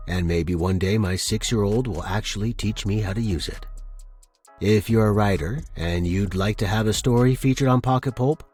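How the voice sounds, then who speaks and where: A man's voice: fairly low pitch, a little mellow, slightly rough. A man narrating scripted fiction dramatically as a podcast host and storyteller.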